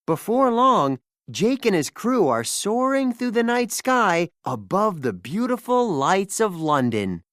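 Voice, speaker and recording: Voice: mid-range voice, very animated, slow, dry recording, clean studio sound. A storybook narrator reading a scripted children's tale expressively, acting out the dialogue.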